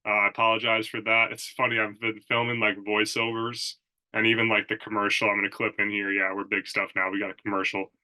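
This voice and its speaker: A young man speaks in a somewhat low register, nasal and stuffed up from allergies. His tone is bright and forceful. He rambles casually over a Zoom recording, leaning on filler words. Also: level tone, brisk, poor audio. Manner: rambling, filler-heavy, says "like" and "dude" often. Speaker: podcast host and strength coach. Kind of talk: casual solo podcast talk.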